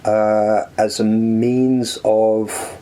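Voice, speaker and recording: Thoughtful man with a mellow voice. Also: fairly low pitch, flat delivery. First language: English. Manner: thoughtful, halting, many restarts. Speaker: podcast guest, author. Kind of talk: reflective conversational interview. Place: living room.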